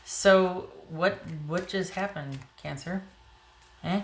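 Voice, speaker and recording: A woman reading tarot cards to camera in a casual way, a little hoarse, thinking aloud as she pulls the cards. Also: fairly low pitch, slow pace, reverberant, slight background noise. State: slightly hoarse. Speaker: tarot reader.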